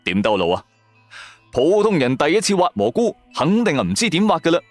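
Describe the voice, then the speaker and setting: A man's voice: fairly high pitch, very animated, fairly quick, no room sound, soft background music. A man with a Cantonese accent, narrating a first-person story in a dramatic audio drama style.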